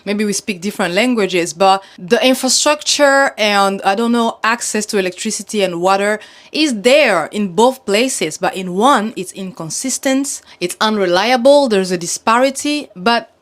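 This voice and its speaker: A young woman from Congo giving earnest, animated commentary, laughing now and then between points. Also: mid-range voice, moderate pace. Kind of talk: scripted talking-head commentary.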